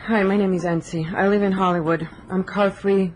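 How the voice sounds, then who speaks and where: A woman's voice: mid-range voice, fairly even intonation, brisk, a little hiss or hum, rough recording. Young woman, from Hungary, public commenter, direct, podium microphone, council chamber.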